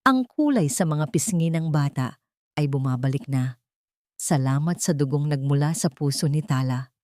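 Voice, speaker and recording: A woman with a slightly low voice narrates an audio story. Her delivery is scripted and dramatic, and the recording is close and dry. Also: lively intonation, moderate pace, mellow, no room sound.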